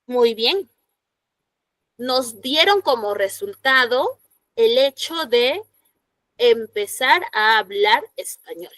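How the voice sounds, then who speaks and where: A woman's voice: on the high side, lively intonation, takes it slowly, pauses often, a bit bright, no room sound. A woman teacher with a Peruvian Spanish accent gives a classroom lecture. She explains patiently and keeps checking in with her students through short tag questions.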